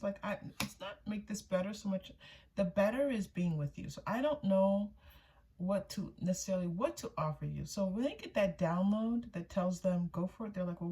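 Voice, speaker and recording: A woman's voice: medium pitch, animated, brisk, dark tone. A woman giving a casual, intuitive tarot reading for viewers, often repeating phrases for emphasis. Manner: voices another person's thoughts in first person. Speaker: tarot reader, channel host.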